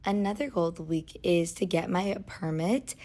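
A young American woman with a slightly raspy voice, hosting a chatty solo podcast in a girly, gushing way with emphatic slang. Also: fairly low pitch, normal speed, warm tone. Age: young adult. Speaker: podcast host.

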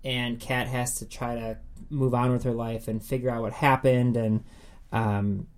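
A man's voice: medium pitch, level tone, measured pace. An American man co-hosting a book podcast, talking in a casual, informal and effusive way. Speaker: podcast co-host discussing upcoming books.

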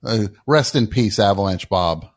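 A man's voice: fairly low pitch, lively intonation, normal speed. A man hosting a comedic call-in radio show, teasing callers and repeating words when incredulous.

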